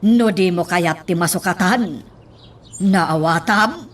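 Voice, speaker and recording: A woman's voice: fairly low pitch, slow. A woman playing a stern, suspicious lady boss who scolds and threatens in an Ilocano radio drama. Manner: commanding, threatening.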